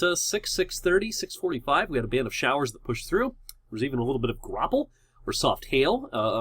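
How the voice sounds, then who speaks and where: A man working as a TV meteorologist, hoarse from a cold and sore throat, walks through the weather in a loose, unscripted way with frequent pauses and restarts. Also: medium pitch, fairly bright tone, no echo. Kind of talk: casual weather forecast video.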